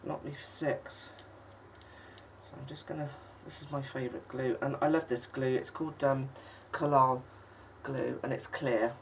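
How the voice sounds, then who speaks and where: A woman with a husky, croaky voice from a lingering cold talks through a crafting project in a rambling, halting way. Her ums are frequent and a cough breaks in now and then. She sits a little back from the mic, with a faint hiss underneath. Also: a bass voice, steady tone. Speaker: craft channel host. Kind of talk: casual crafting tutorial. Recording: distant mic with faint noise.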